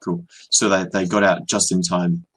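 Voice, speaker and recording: Husky, deep-voiced Australian young man. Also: moderate pace. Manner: relaxed, hesitant, many ums and restarts. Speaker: online English tutor. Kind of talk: casual video-call conversation. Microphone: video call.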